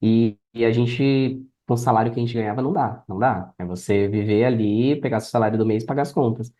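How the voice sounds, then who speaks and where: A Brazilian man around thirty with a mellow, relaxed voice. He talks casually about his own experience, as a guest on a podcast. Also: medium pitch, a little fast. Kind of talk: casual personal storytelling.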